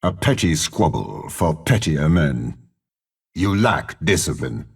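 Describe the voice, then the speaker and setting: An aging, imperious game villain with an extremely deep, husky voice. He is a cold schemer who speaks slowly and deliberately, with haughty menace and wide, dramatic swings in pitch. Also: big pitch swings, heavily acted. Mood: cold, calculating. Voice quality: gravelly, husky. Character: cold, scheming older male warlord and spymaster, imperious villain. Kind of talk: video game character voice lines.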